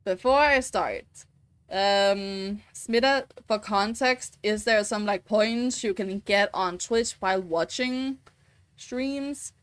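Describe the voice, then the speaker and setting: A woman's voice: mid-range voice, takes it slowly, clear, resonant tone, no echo. A Danish woman streaming a game, thinking aloud and answering chat, often searching for words. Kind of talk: casual gameplay chat.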